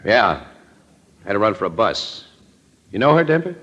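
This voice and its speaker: An actor playing a wry, skeptical, streetwise newspaper photographer in an old-time radio crime drama, with clipped, slangy wisecracks. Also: male voice, lowish voice, very animated, moderate pace, fairly bright tone. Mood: casual, cagey. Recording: dull, lo-fi old radio recording.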